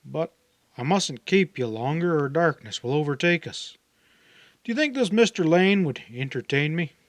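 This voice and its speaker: Man reading as a measured older gentleman. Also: fairly high pitch, expressive, no echo, close to an everyday voice. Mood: kindly. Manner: measured, formal, musing. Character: weary older gentleman stranger, educated, reserved and kindly. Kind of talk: audiobook character dialogue.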